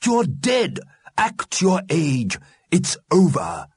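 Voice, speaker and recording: A man's voice: on the high side, huge pitch swings, very slow, darkish tone, breathy edge, dry recording, clean studio sound, voice pushed up, heavily acted. A man voicing a stern, sanctimonious dead man who admonishes pompously, in a storybook reading. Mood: admonishing.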